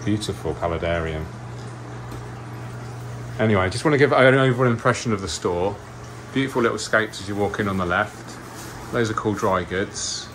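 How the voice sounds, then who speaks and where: A man's voice: lowish voice, moderate pace, pauses often, fairly bright tone, a little hiss or hum, rough recording. A man hosting an aquascaping vlog, giving a chatty, enthusiastic tour of an aquarium shop, recorded on a handheld camera. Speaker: aquascaping channel host.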